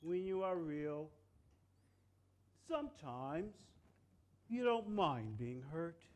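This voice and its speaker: A reader playing a wise, kind, very old toy horse in a storybook reading, speaking gently and haltingly with pauses. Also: mid-range voice, sing-song. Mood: gentle, wise.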